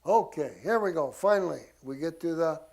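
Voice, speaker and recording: A man with a somewhat high-set voice teaches history to a group. He speaks informally and in a folksy way, dropping in casual asides to his listeners. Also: lively intonation, moderate pace, gravelly, darkish tone, rough recording. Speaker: church class teacher.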